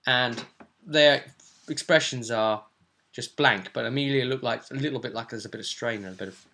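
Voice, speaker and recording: A man's voice: medium pitch, normal speed, pushed and bright. A man giving a casual, rambling recap of a tabletop role-playing session as the game master.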